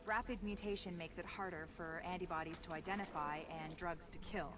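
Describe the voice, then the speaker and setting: An actress playing a dry, precise virologist who gives a wry, matter-of-fact briefing. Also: female voice, lowish voice, steady tone, brisk, noisy background, close to an everyday voice. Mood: clinical, concerned. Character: dry, clinical woman virologist briefing an agent. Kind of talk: scripted scientific briefing.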